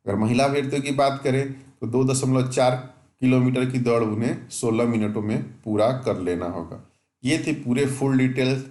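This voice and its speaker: A man's voice: mid-range voice, a little mellow, reverberant. A man who teaches exam preparation, walking through a job notification point by point and correcting himself as he goes. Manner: methodical, reads out rules point by point, mixes English terms; self-corrects with small restarts.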